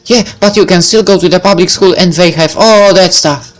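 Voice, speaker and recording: A man's voice: high voice, normal speed, faint music behind, pitched above the performer's own voice, close to an everyday voice. A man voicing a chatty, teasing teenage schoolgirl from a visual novel. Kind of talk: visual novel character read-aloud.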